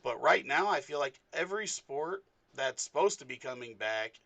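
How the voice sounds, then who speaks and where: A man's voice: on the high side, animated, normal speed, creaky, sharp tone, no echo. A man hosting a sports podcast, chatting casually and rambling with many fillers. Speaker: podcast host. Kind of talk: casual interview chat.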